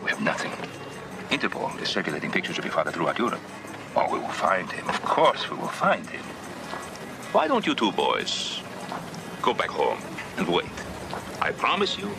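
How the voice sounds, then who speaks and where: A man's voice: mid-range voice, huge pitch swings, normal speed, gravelly, music in the background. An actor playing a formal, polite male police inspector in a 1970s TV drama. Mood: reassuring.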